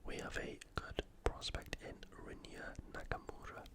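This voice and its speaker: A host whispering an informal, opinionated sports preview in ASMR style, all breath and no voice. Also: normal speed. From United Kingdom.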